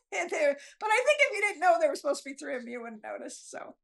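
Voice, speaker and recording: A woman's voice: high-pitched, big pitch swings, fairly quick, gravelly, a bit bright, slight background noise. An older woman, chatting casually in an interview in a rambling, self-deprecating, chuckling way. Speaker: guest author.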